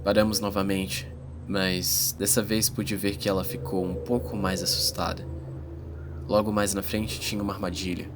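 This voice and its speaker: A man's voice: deep voice, little pitch movement, moderate pace, music in the background, close to an everyday voice. A Brazilian man playing a teenage schoolboy who narrates an uneasy fantasy adventure in a dramatized audiobook. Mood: narrating. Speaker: first-person narrator.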